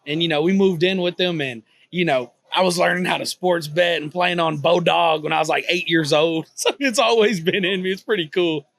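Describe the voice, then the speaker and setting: Young Texan man, easygoing interview guest, slightly high voice, loose filler-heavy chat. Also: normal speed, gravelly, hardly any pauses, a bit bright, no room sound. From Texas, USA. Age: 20s. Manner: easygoing, leans on "you know" fillers. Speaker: guest, poker vlogger. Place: on a cruise ship.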